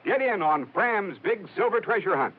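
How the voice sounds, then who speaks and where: Brisk salesy announcer guy, bit high, old phone-like radio audio. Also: lively intonation. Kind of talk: 1950s radio commercial.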